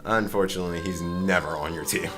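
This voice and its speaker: A man's voice: low-pitched, fast talker, crowd noise. A young man doing casual let's-play commentary, rambling and swearing as he explains the game. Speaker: gaming commentator. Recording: game music behind the voice.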